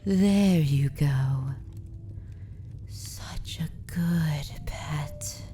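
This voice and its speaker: Woman acting a very slow, dark-voiced vampire mistress. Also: low-pitched, slight background noise. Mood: tender, possessive. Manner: slow, soft, often whispered, formal old-fashioned phrasing. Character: dominant, tender female vampire mistress soothing her pet. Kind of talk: slow ASMR fantasy roleplay.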